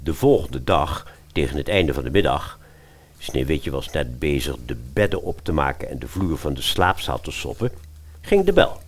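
A man narrating a comic fairy-tale audio drama as an expressive storyteller. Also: very deep voice, moderate pace.

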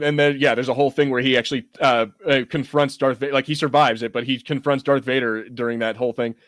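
A man's voice: mid-range voice, fairly bright tone, no room sound. A man hosting a podcast, chatting casually about fandom in an enthusiastic, rambling, jokey way. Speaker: podcast host. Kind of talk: casual fan discussion.

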